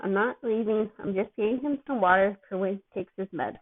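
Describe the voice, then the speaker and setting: A woman's voice: medium pitch, brisk, natural voice. A woman voicing a worried, fatherly man who coaxes and soothes a child with weary exasperation. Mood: gentle, coaxing. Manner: gentle coaxing, exasperated. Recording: thin phone-like sound.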